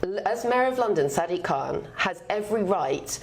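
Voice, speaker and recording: A woman arguing heatedly as a panelist in a studio debate, loud and impassioned. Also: on the low side, lively intonation, moderate pace, a little hiss or hum.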